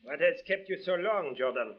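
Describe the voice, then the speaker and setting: An actor playing a patient, menacing foreign villain in an old-time radio drama, with stiff, formal, measured phrasing. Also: male voice, on the high side, animated, soft background music, close to an everyday voice. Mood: coolly menacing. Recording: dull, lo-fi old radio recording.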